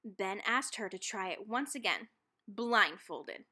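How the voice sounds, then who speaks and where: A woman reading a children's picture book aloud expressively, with dramatic, deliberate emphasis on key phrases. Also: medium pitch, animated, sharp tone, husky. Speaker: read-aloud narrator.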